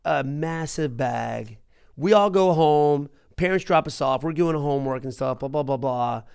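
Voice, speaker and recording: A man hosting a podcast, telling stories in casual chat with an animated manner and repeating himself for emphasis. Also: fairly high pitch, measured pace, gravelly, clean tone. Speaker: podcast host.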